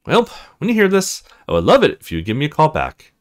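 A man's voice: on the high side, huge pitch swings, fast. A man voicing a spunky, teasing young woman from a visual novel with a playful, bouncy delivery. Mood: hopeful.

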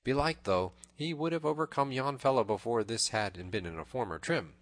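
A man's voice: mid-range voice, expressive, normal speed, dry recording, natural voice. A man voicing a bold, merry, teasing outlaw leader with exuberant, old-fashioned storybook speech. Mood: amused. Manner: exuberant, mirthful archaic speech.

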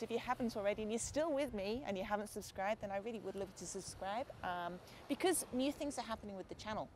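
A woman in her forties from Lancashire with a softened Lancashire accent, chatting casually to camera on a beach and thanking her viewers. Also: mid-range voice. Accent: Lancashire English, softened. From Lancashire, England. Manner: chatty, warm thanks, calls viewers guys and mate. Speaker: art channel host. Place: outdoors on a beach at sunset. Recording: noisy outdoor camera audio.

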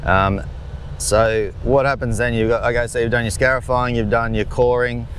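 A man's voice: medium pitch, fairly even intonation, moderate pace, a bit bright, slight background noise. A man, asking questions in a friendly, hesitant way, recorded outdoors on a golf course. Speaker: interviewer. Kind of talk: casual interview questions.

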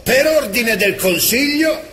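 An actor playing a bold, solemn armored gunslinger in a video game dub, speaking in a declamatory manner. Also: male voice, extremely high pitch, lively intonation, a little slow, sharp tone, a little hiss or hum, full character voice. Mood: defiant.

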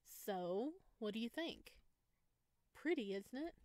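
Woman playing a kind young man, visual novel companion, gentle, reassuring, dry sound. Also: fairly high pitch, expressive, slow, no echo. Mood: fond.

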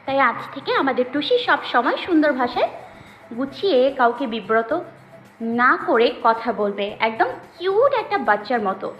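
A woman playing a kind, gently coaxing young wife in an audio story. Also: high-pitched, big pitch swings, moderate pace. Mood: gentle, coaxing. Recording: slightly muffled, roomy, faint music.

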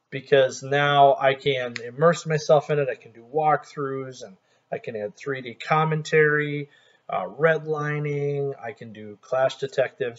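A middle-aged man talking through a screen-recorded software tutorial in a relaxed, conversational way, with small restarts. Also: mid-range voice, highs cut off.

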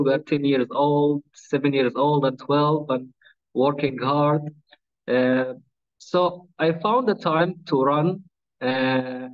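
A man in his thirties from Palestine, Arabic his first language, answering interview questions in plain English with small slips, on a video call from a small room. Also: fairly high pitch. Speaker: webinar guest. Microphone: laptop webcam on a video call. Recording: dull lo-fi video call audio.

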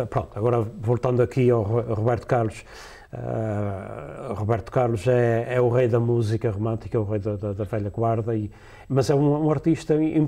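This is a man in his sixties with a European Portuguese accent and a warm, mellow tone of middling pitch. He talks slowly and haltingly in a studio interview, with many fillers, restarts and easy laughs. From Azores, Portugal. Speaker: musician guest. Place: TV studio set, seated in a leather chair.

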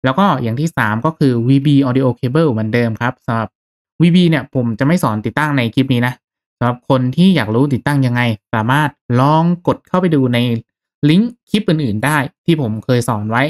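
A man narrating a step-by-step software tutorial in a casual, conversational, instructional way. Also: mid-range voice, expressive, a little fast. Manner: instructional, conversational with polite particles. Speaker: tutorial host.

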